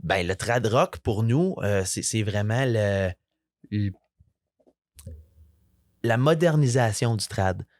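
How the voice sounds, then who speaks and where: A young man with a Franco-Ontarian French accent, a musician chatting as a guest, relaxed and rambling with lots of fillers. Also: lowish voice, takes it slowly, long pauses, dry recording. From Eastern Ontario, Canada. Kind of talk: casual interview chat.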